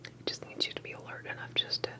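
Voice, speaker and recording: A woman speaking in a breathy whisper, gently and deliberately asking questions in an ASMR roleplay interview. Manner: soft-spoken, deliberate, questioning.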